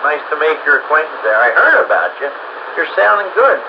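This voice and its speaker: A man's voice: on the high side, very animated, a little fast. A man with an American accent comes through a CB radio under heavy noise, the sound narrow and lo-fi. He talks casually in folksy operator slang, like someone running the channel. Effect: CB radio transmission. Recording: very noisy, band-limited CB radio signal.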